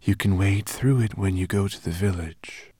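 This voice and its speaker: A man voicing a huge ancient female sea serpent in an audiobook, speaking slowly with a hissing, measured manner. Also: low voice, a little mellow, husky, no echo, clean studio sound, voice pushed up. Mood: solemn. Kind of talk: audiobook character voice.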